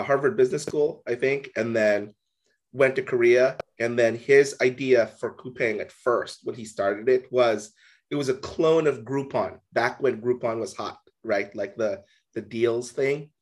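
Male co-host, mid-pitched, relaxed rambling delivery, lots of filler "like". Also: unhurried. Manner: casual, heavy use of "like". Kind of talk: casual business discussion.

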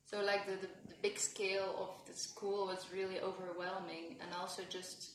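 A woman's voice: mid-range voice, little pitch movement, moderate pace, slight background noise. Young woman in an echoey room. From France. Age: young adult. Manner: hesitant, with many fillers and laughs. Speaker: podcast guest. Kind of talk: casual conversation.

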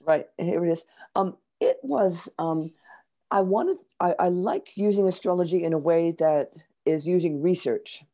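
Middle-aged woman, American accent, hoarse, warm dark tone, chatty, hesitant, explanatory. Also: lowish voice, animated, unhurried. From Michigan, USA. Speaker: guest author. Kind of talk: interview answers.

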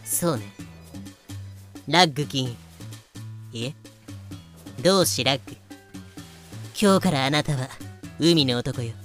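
A woman's voice: extremely low pitch, takes it slowly, long pauses, soft background music. A woman playing a bloodthirsty yet composed female pirate captain in an audio drama, commanding and teasing. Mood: cool, amused. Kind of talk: fan-made audio drama acting.

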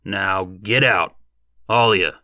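A man voicing a gruff, irate, shrewd rural American farmer in an audiobook, growling in folksy dialect. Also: sits low, slow pace, bright, forceful tone, dry recording, full character voice. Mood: bossy, firm.

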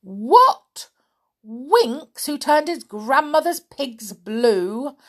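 A woman's voice: sits high, animated, extremely slow, pushed and bright, pitched above the performer's own voice, heavily acted. A woman voicing an angry, bossy witch in a children's story, scolding and giving commands.

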